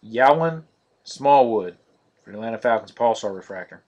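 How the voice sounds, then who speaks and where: Male card-break host, mid pitch, flat steady delivery, live-stream feel. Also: normal speed, dull audio. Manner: monotonous, steady listing of cards.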